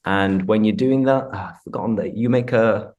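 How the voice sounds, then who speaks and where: A man's voice: lowish voice, moderate pace, a little mellow, muffled recording. A man from Yorkshire with a Northern English accent, hosting a casual conversation in an informal, explanatory way. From Yorkshire, England. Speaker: host, English teacher. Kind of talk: casual chat with explanations of slang.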